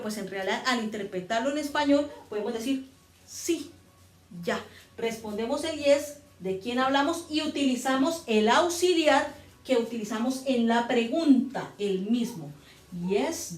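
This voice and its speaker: Woman teaching grammar, echoey room. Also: a little high. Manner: patient, repeats and spells out steps. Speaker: English teacher. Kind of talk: grammar lesson explanation.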